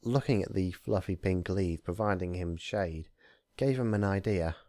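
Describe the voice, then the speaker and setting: A man with a fairly low voice, recorded dry with little room around it. He hosts story readings, moving between casual talk and narration with deliberate, theatrical phrasing. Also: mellow, no echo. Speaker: fan fiction reader, channel host.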